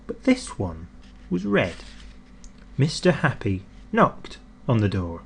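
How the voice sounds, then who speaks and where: A man narrating a children's storybook expressively, with deliberate emphasis on key words. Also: fairly low pitch, huge pitch swings, gravelly, a little hiss or hum. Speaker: audiobook narrator.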